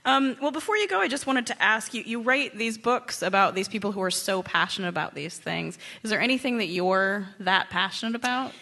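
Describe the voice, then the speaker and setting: A woman with a bright, crisp-edged voice and medium pitch hosts a live radio interview. She puts questions to her guest in a conversational style. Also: expressive, fairly quick, few pauses. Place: on stage at a live radio show.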